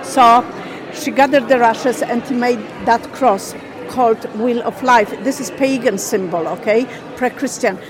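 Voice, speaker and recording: A woman's voice: on the high side, a little slow, a crowd in the background, rough recording. A woman from Poland, a Polish native, telling the story of her traditional craft in a radio interview in careful, simple phrases. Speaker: craft business owner. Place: busy exhibition hall.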